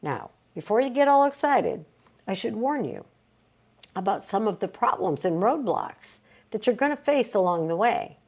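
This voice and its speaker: A woman reading a motivational self-help book aloud, with emphatic, deliberate phrasing and short pauses. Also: mid-range voice, very animated, a little mellow. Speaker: reader of a book read aloud.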